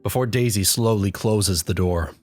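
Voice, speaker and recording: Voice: sits low, moderate pace, darkish tone, slight background noise. A narrator reading a scripted comic story in the second person, addressing the listener as the main character. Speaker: fanfic narrator. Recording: music behind the voice.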